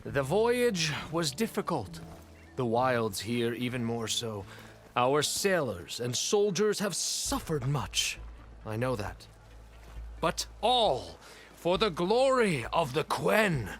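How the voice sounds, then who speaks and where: An actor voicing a pompous, commanding ruler of a seafaring tribe in a video game, speaking with grandiose authority. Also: male voice, medium pitch, big pitch swings, slow. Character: imperious, grandiose male chief executive of a seafaring tribe. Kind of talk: dramatic video game acting.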